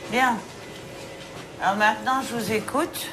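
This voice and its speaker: A woman's voice: on the high side, steady tone, unhurried, over music. A woman prosecutor, questioning a suspect firmly in her office. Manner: stern, firm, pointed questions.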